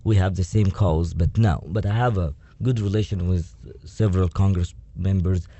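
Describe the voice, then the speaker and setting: Man from egypt, very deep, dark mellow tone, hesitant, dull dry recording. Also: fairly even intonation, moderate pace, no echo. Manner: hesitant, many fillers and restarts. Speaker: guest. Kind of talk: radio interview.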